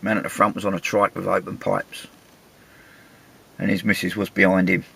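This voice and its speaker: An English man, middle-aged, with a gravelly, husky voice, telling a travel story in a chatty, wry, self-mocking way. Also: deep voice, flat delivery, normal speed, fairly bright tone. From England. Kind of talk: casual anecdotal storytelling.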